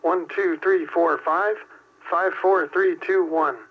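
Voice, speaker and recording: A man speaks slowly in short, deliberate pieces, as in a radio check. His slightly high voice comes dull and muffled through a two-way radio receiver. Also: steady tone. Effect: two-way radio transmission. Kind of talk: radio test transmission, slow counting and call sign.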